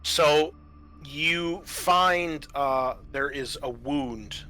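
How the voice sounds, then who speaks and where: Bright-voiced man running a tabletop game. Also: fairly high pitch, poor audio. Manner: halting, thinking aloud as he rules on play. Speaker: game master. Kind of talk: narrating a tabletop roleplaying game.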